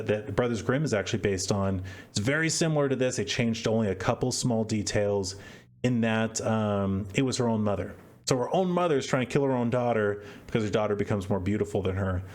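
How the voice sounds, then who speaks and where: A man's voice: medium pitch, a little mellow. A man hosting a storytelling show, reading a fairy tale aloud in an informal, conversational way. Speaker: host and storyteller.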